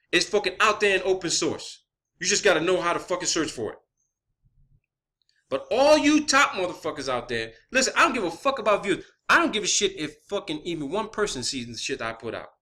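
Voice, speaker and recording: A man's voice: sits high, pushed and bright. A man delivering a confrontational, blunt, profanity-heavy rant as an online video creator. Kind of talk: profane spoken rant to camera or viewers.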